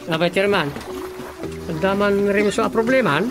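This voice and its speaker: An easygoing fellow who likes giving advice in a radio drama comedy. His creaky voice has an Ilocano accent and an earnest tone. Music sits clearly under it. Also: high voice, measured pace. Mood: concerned.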